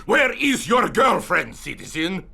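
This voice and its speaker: An actor voicing a stern, threatening Soviet interrogator in a video game, demanding and deliberate, with a harsh voice. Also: male voice, high-pitched, huge pitch swings, gravelly, background noise, exaggerated voice. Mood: menacing. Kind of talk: video game cutscene acting.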